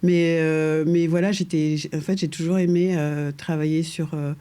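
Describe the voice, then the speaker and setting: A middle-aged woman who grew up in Paris, talking as a guest in a relaxed interview, often pausing on fillers and restarting her sentences. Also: deep voice, clean tone. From France, raised in Paris from age two. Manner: hesitant, many fillers and restarts, laughs lightly. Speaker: guest architect. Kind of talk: casual conversational interview.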